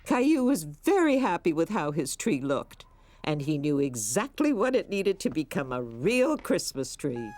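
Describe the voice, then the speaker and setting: A woman playing a kindly storybook narrator, telling a scripted preschool story with expressive delivery. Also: deep voice, a little slow, slight background noise. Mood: fond storytelling. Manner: expressive storytelling for young children.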